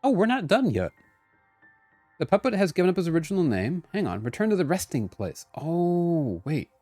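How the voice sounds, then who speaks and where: Animated, higher-voiced man streaming a game. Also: normal speed, faint music behind. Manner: animated, playful, exclamatory. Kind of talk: casual live gameplay chat with viewers.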